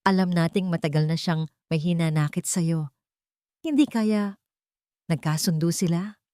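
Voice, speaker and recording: Woman narrator playing a manipulative wife in a romantic melodrama, gently poisonous and falsely tender, with a very dry sound. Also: lowish voice, measured pace, smooth, no echo, close to an everyday voice. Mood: feigned sorrow. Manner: soft, coaxing, insinuating. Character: cunning, manipulative wife feigning tender concern. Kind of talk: dramatic audio story dialogue.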